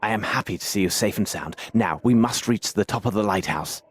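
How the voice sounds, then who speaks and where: An actor playing a shrewd, authoritative Victorian gentleman detective in a video game. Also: on the low side, a little fast, husky. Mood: brisk, assured.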